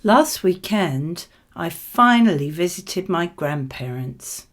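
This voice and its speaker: A woman reading scripted model answers for a spoken exam, with measured, clearly phrased delivery. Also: fairly low pitch.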